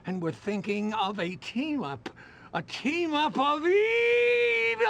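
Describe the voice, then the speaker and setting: A man voicing an excitable, gleefully evil talking bubble villain in a cartoon game, with theatrical delivery. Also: sits high, highs cut off, exaggerated voice. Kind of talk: video game cartoon voice acting.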